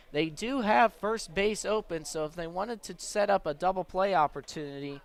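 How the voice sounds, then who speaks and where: A man with a notably high voice calls baseball live for radio. He works as the play-by-play announcer, keeping his calls measured and pushing them emphatically when the action picks up. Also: creaky, a bit bright, clean tone. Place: at a baseball game.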